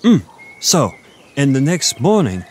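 A man playing a flirty, boastful Irish innkeeper, chatting in a meandering, intimate roleplay monologue with thoughtful pauses. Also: mid-range voice, sing-song, slight background noise. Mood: proud, animated storytelling.

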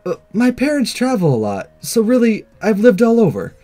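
A man acts out an awkward, quiet high school student from a visual novel. The delivery is soft and hesitant, slightly high, with music behind it. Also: huge pitch swings, moderate pace. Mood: shy, hesitant. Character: shy, quiet teenage student hiding among schoolgirls. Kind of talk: visual novel character read aloud.

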